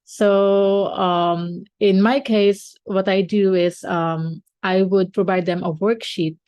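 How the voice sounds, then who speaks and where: A woman's voice: medium pitch, steady tone, slow pace, a little mellow, clear, resonant tone, no room sound. A woman teacher and podcast co-host who is not a native English speaker, chatting casually with many fillers and restarts.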